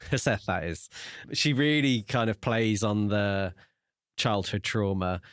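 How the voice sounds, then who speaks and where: A man's voice: lowish voice, measured pace, a bit bright, smooth, no room sound, studio quality. A man co-hosting a podcast and casually discussing a book. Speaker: podcast co-host.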